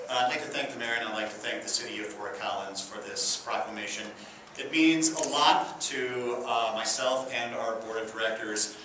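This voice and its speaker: A man giving an informal thank-you speech as a community radio director, halting often as he goes. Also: fairly low pitch. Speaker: radio station executive director, guest speaker. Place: indoor council chambers. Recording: very echoey, distant, noisy room.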